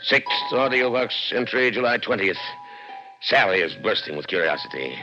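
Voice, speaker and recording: An actor in a 1960s radio drama playing a brooding, intense scientist with theatrical, deliberate emphasis. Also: male voice, on the low side, lively intonation, sharp tone, faint music behind. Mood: clinical, resolute. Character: obsessive brilliant male scientist, brooding and intense. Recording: lo-fi old radio broadcast recording.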